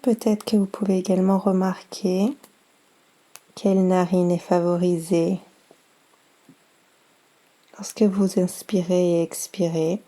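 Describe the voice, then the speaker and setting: A woman guiding a yoga nidra meditation in a soft, soothing, unhurried manner with long pauses. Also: on the low side, little pitch movement. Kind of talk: guided meditation.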